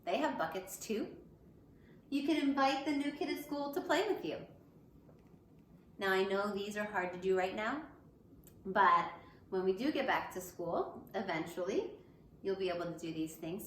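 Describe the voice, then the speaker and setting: A woman's voice: mid-range voice, fairly bright tone. A woman schoolteacher reading and talking to young children in simple, encouraging phrases. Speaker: elementary school teacher. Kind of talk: children's picture book read-aloud with asides to pupils. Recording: echoey room with faint background noise.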